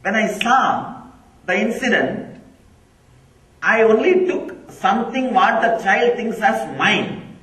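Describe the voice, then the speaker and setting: A man's voice: high voice, expressive, slow, long pauses, pushed and bright. A man, a Tamil speaker, giving a spiritual discourse, emphatic and repeating key phrases. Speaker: spiritual teacher. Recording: echoey room, from far off.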